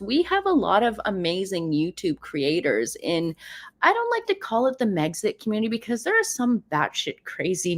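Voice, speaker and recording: A woman whose first language is Persian, hosting a livestream in a chatty, animated way, reading viewer comments and laughing often. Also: medium pitch. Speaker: livestream host.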